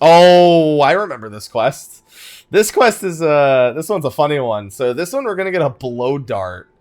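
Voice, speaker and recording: A man's voice: a little high, very animated, normal speed. A man giving casual let's play commentary, thinking aloud in a wry, conversational way. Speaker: gaming YouTuber, host of the let's play.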